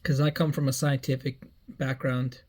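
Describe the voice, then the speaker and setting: A man's voice: mid-range voice, level tone, normal speed, darkish tone. A man in his early thirties giving a meandering personal life update, often repeating himself and restarting phrases. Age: 30s. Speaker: vlogger.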